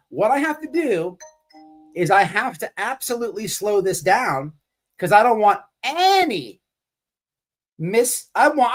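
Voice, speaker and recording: A man hosting a livestream, giving casual, mocking commentary in a profane, repetitive, rambling way. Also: high voice.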